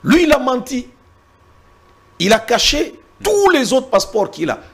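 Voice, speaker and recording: Emphatic man with a husky voice. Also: extremely high pitch, big pitch swings, a little slow, a bit bright. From Congo. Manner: emphatic, repeats words when pressed, cites the Bible. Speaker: interview guest. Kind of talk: heated political debate.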